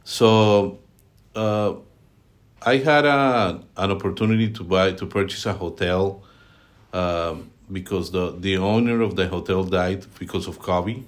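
Deep-voiced Colombian man, halting speech. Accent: Colombian Spanish. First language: Spanish. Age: middle-aged. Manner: halting, many fillers and restarts. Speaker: guest, team leader. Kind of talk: podcast interview.

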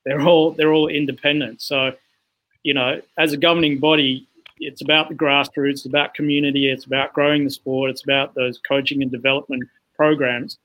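A man's voice: mid-range voice, little pitch movement, fairly quick, fairly bright tone, poor audio. A man born in Zambia and raised in England, a guest explaining his work at length in a casual podcast interview, with frequent "you know" fillers. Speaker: guest, founder of a futsal organisation.

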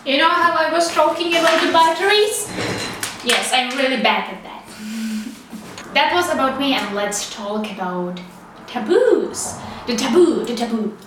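A woman's voice: high voice, expressive, measured pace. A young woman from the Czech Republic with a Czech accent, rambling casually to the camera with lots of fillers and laughs. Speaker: vlogger. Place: small studio with street traffic outside. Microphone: camera's built-in mic, no external microphone. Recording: roomy, distant camera mic with faint street noise.

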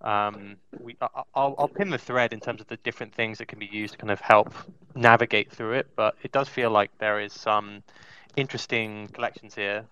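Deep, crisp-voiced man in muffled lo-fi audio. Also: steady tone, fairly quick, pushed and bright. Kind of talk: casual explanatory talk. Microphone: voice chat. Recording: dull, dry lo-fi online audio.

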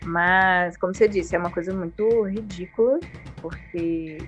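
A woman's voice: lowish voice, steady tone, unhurried, highs cut off, soft background music. A woman with a Brazilian Portuguese accent, hosting a conversational interview in an informal, reflective manner. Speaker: interviewer, host.

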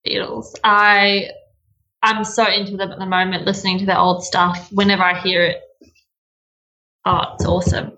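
A young woman with an Australian accent answering interview questions in a bubbly, giggly way. She stretches out words for emphasis and speaks at an everyday pitch, with a relaxed, conversational delivery. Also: moderate pace, long pauses, low-quality recording. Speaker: interview guest. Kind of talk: casual interview chat.

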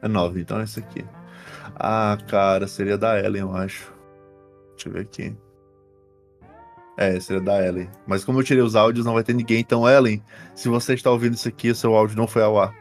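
A man's voice: fairly low pitch, fairly even intonation, fast talker, faint music behind. Brazilian man narrating a tabletop game. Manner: informal, uses slang and swearing. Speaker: game master. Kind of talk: narrating a tabletop roleplaying game, casual and improvised.

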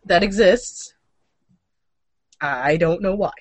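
A woman's voice: lowish voice, slow, dry recording. A woman co-hosting a casual news chat, with an irreverent, joking and sweary way of talking. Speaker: show host.

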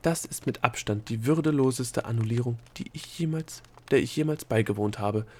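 A haughty female creature of bureaucracy from a storybook, put on by a male reader. She is prim and formal, picking out her words in a measured way. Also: medium pitch, a little mellow, a little hiss or hum, natural voice. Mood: cool disdain. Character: cool, prim, bureaucratic female thought-creature, secretly coquettish. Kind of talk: storybook character dialogue.

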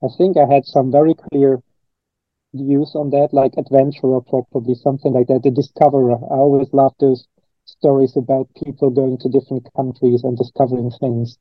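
A man's voice: mid-range voice, flat delivery, a little fast, dry recording. Male coach, interviewee, rambling and reflective, muffled dry recording. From Germany. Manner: thoughtful, rambling with frequent restarts and fillers. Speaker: coach and trainer. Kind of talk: interview answers.

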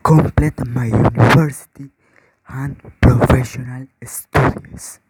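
A husky man, giving brief rehearsed interview answers in halting English. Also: mid-range voice, fairly even intonation, darkish tone, a little hiss or hum, rough recording. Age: 20s. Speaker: job candidate, university student.

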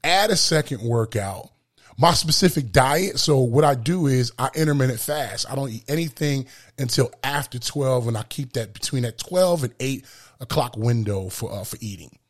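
A man hosting a solo motivational podcast with a booming voice, speaking emphatically and repeating phrases for effect. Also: lively intonation, moderate pace. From lives in Florida. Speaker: podcast host.

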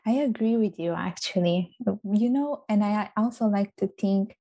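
A woman's voice: mid-range voice, normal speed, dark tone, poor audio. A woman with a strong Italian accent, chatting as a guest in an earnest, emphatic way. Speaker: interview guest. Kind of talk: casual interview chat.

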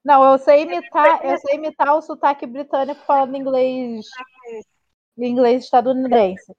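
A Brazilian woman co-hosting a casual chat, animated and joking. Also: high-pitched, highs cut off. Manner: animated, playful, quick jokes. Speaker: podcast co-host. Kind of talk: casual chat reviewing a drag show.

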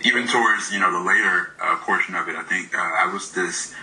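A man's voice: low-pitched, a little fast, sharp tone, a little hiss or hum, low-quality recording. A man in his thirties from Michigan, an indie game developer, talking casually in an interview, hesitant with many fillers and laughs, his voice slightly worn.

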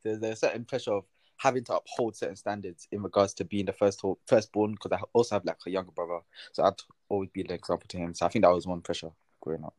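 A man's voice: deep voice, fairly even intonation, fast, darkish tone, dry recording. A seventeen-year-old man, chatting casually as a guest. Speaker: podcast guest, student. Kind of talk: casual chat.

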